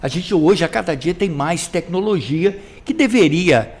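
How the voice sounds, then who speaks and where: A man hosting a relaxed interview, his fairly high voice moving lively up and down. He stops and restarts as he works his questions out. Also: unhurried. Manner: probing questions with hesitant restarts. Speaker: host, interviewer. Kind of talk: casual interview.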